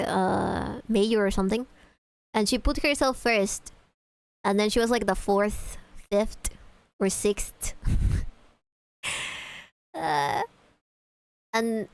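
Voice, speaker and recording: A woman's voice: mid-range voice, clean tone, dry recording. A woman streamer chatting casually, profane and easily sidetracked, reacting to videos.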